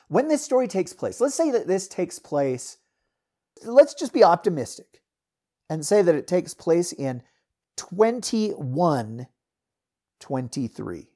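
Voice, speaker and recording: A man's voice: high-pitched, very animated, takes it slowly, long pauses. Rambling candid middle-aged man. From United States. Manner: rambling, candid, wide swings in loudness. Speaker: podcast host and author. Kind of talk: casual solo talk.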